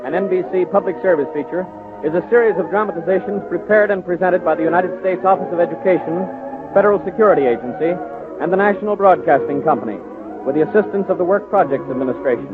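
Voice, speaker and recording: A man's voice: high voice, quick pace. Formal 1930s radio announcer man, tinny sound. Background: loud music.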